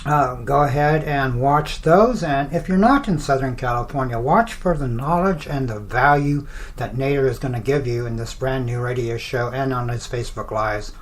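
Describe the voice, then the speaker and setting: A radio host chatting and promoting in a conversational, halting way. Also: mid-range voice. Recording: clear background noise.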